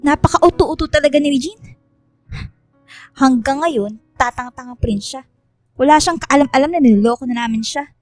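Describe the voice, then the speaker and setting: A woman's voice: high-pitched, moderate pace, pauses often, darkish tone, no echo, faint music behind, lightly acted. A woman playing a snobbish, scheming young mean girl in a radio drama, haughty and taunting, mixing English into her lines. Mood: cold, scheming.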